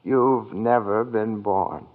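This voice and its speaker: A man playing a kindly, eccentric apprentice guardian angel in old-time radio drama, genteel, earnest and gently insistent. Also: on the low side, takes it slowly. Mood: earnest, persuasive. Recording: tinny vintage radio broadcast.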